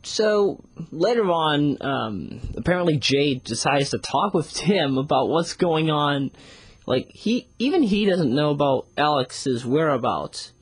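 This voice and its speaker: A casual reviewer with a husky voice and very animated pitch. The delivery rambles and circles back on its own phrases. The sound is dry, with little room in it. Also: measured pace, no room sound, poor audio. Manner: rambling, often repeats phrases to restart. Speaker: series reviewer. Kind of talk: casual spoken audio review.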